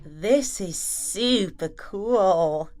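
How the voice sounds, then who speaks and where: A woman's voice: mid-range voice, animated, extremely slow, a little mellow, soft background music. A woman playing Alice's part as a curious, questioning schoolgirl in an audio play. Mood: amazed. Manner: inquisitive, questioning. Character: Alice, curious young schoolgirl lost far from home.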